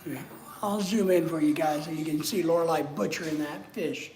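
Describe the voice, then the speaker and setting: A man's voice: a little high, moderate pace, a little mellow, a little hiss or hum. A man with a Southern US accent chatting casually on a live stream, rambling and greeting viewers by name in a rental house kitchen. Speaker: vlogger. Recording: roomy echo.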